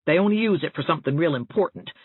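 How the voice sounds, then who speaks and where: A woman's voice: sits low, expressive, rapid-fire, slightly rough, phone-quality sound. A woman voicing a chatty, well-meaning older small-town busybody in an audiobook, with a folksy, rambling, confiding manner. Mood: confiding, fussy. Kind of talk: audiobook character dialogue. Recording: thin band-limited audio, roomy echo.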